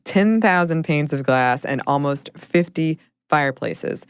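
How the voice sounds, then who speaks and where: A woman co-hosting a podcast in casual chat, laughing often and sometimes dropping to a low murmur. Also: expressive, unhurried, clear, resonant tone, no echo, studio quality. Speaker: podcast co-host.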